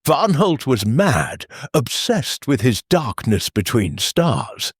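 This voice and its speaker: A man's voice: medium pitch, wildly swooping pitch, slow, breathy edge, dry recording, studio quality, exaggerated voice. A man voicing an irritable, dismissive archaeology professor in a mystery audiobook. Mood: scornful. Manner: snappish, dismissive.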